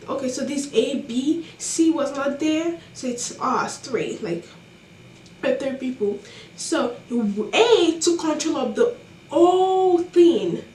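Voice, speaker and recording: A young woman with a non-American accent telling college stories casually, animated and exasperated, with many fillers. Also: sits high, echoey room, far from the mic, slight background noise. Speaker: college student vlogger. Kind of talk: casual storytelling to camera.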